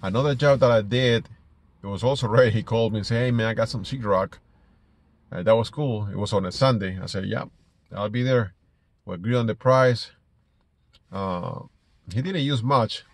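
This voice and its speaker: A middle-aged man from Ecuador with an Ecuadorian Spanish accent, telling stories casually to camera in a halting way, repeating words as he goes. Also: fairly low pitch, no room sound. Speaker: small business owner vlogger. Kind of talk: casual personal storytelling to camera. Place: inside a parked car.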